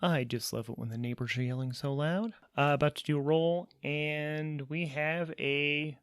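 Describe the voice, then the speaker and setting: A man's voice: a little high, fairly even intonation, moderate pace, smooth, dry recording. A man narrating a solo tabletop role-playing game as host and player, thinking aloud between casual rules talk and storytelling.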